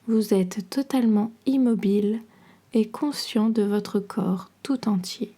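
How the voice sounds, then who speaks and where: A woman guiding a relaxation meditation in a soothing, measured, instructional way, her soft voice turning breathy at the ends of phrases. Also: mid-range voice. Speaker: podcast host and yoga nidra guide.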